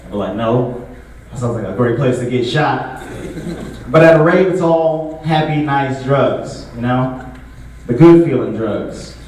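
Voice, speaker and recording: A young man performing a stand-up comedy set in a relaxed, conversational way, full of filler words. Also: medium pitch, a little slow. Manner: laid-back, conversational, frequent filler words. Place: comedy show venue with a live audience. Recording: echoey room, distant mic, audible noise.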